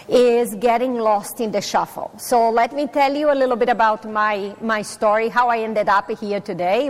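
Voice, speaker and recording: A middle-aged Brazilian woman with a Portuguese accent addresses a live audience, chatty and self-deprecating, pausing on fillers. Her voice sits fairly high for a woman. The long reverb of a conference hall trails after each phrase. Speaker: conference speaker. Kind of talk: personal talk to a live audience.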